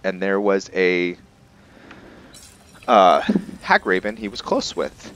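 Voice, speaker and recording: A man giving casual, improvised game commentary in a halting, think-aloud manner as he narrates a roleplay playthrough. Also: deep voice, fairly bright tone, a little hiss or hum, rough recording. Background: background game music.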